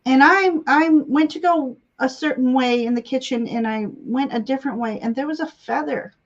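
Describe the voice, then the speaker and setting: A woman giving intuitive readings on a live stream, congested and halting, often clearing her throat. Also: sits high. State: congested sinuses, voice slightly giving out. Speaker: guest psychic tarot reader. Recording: dull, lo-fi stream audio.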